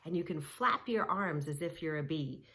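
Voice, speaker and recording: A woman's voice: low voice, lively intonation, normal speed, sharp tone. A woman reading a story aloud and guiding preschoolers through yoga poses, speaking simply and encouragingly, straight to the child. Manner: simple, encouraging, addressing young children directly. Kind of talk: read-along story with yoga instruction for preschoolers.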